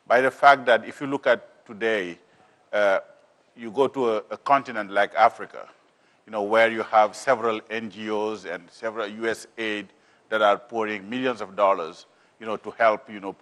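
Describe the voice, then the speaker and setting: A man with a deep voice presenting on a panel as a business manager, in a halting, repetitive way full of filler phrases. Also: a bit bright. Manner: halting, repetitive, peppered with "you know".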